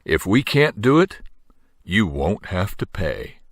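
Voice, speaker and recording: A man's voice: low voice, very animated, slow pace, no room sound, clean studio sound, full character voice. A man reading a storybook, voicing a proud, confident working man who speaks with emphasis. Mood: confident, eager. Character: Mike Mulligan, proud, confident steam shovel operator.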